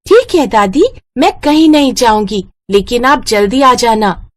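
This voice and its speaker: A woman's voice: on the high side, animated, measured pace, dry recording, voice pushed up. A woman voicing an innocent, trusting little lost girl in a cartoon story, in a plaintive childlike manner.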